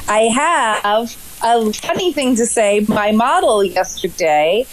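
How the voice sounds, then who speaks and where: A woman's voice: a little high, takes it slowly, poor audio. Chatty woman podcast host. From Connecticut, US. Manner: chatty, lively storytelling, laughs easily. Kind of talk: casual podcast chat.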